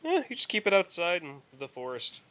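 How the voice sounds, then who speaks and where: A man co-hosting a podcast in casual chat, rambling haltingly and repeating words as he goes. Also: sits high, wildly swooping pitch, a bit bright. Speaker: podcast co-host.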